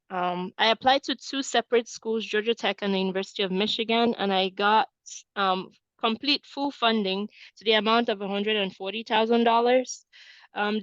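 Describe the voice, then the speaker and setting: A woman's voice: fairly high pitch, fairly bright tone, no echo, highs cut off. A woman in her thirties from Cameroon, presenting a webinar as an admissions coach and often adding "right?" to her points. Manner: peppers points with tag question "right?".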